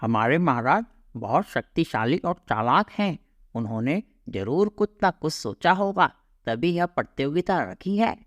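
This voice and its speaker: Man as an old animal, very animated. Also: a little high, measured pace, no room sound. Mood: reflective. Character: old male jungle animal, friendly, respectful. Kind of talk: children's animated story dialogue.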